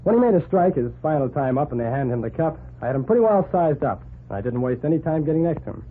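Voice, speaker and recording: An actor playing a brash, eager newspaper reporter with breezy slang, in 1940s radio drama style. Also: male voice, on the high side, expressive, fast. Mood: narrating. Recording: noisy, phone-like 1940s radio recording.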